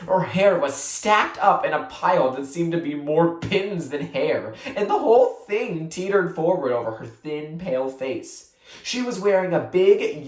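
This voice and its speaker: A reader narrating a children's novel aloud in an expressive, deliberate storytelling manner. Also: mid-range voice. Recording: roomy echo.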